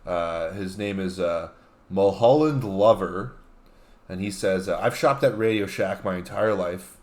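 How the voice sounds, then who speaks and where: A man with a fairly low voice talks casually as a guest on a comedy show. He reads posts aloud and explains them haltingly, sometimes apologetically. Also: darkish tone. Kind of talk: casual banter, reading out tweets.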